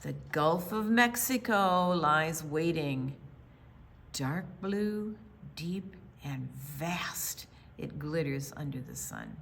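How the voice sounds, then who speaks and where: An American woman reading a picture book aloud for young children, with dramatic pauses, hushed asides and emphatic delivery. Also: on the low side, creaky. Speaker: children's storytime reader at a library.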